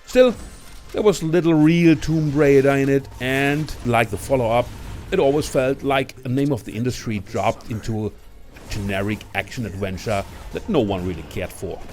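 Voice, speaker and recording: A man's voice: medium pitch, normal speed, darkish tone, soft background music. A man hosting a countdown video, reading a scripted film review as narrator. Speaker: video list host and narrator.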